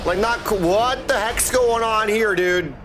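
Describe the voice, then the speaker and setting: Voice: medium pitch, a little slow. A game streamer giving casual, irreverent, sarcastic reactions while playing. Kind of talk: casual reactive gameplay commentary. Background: game music.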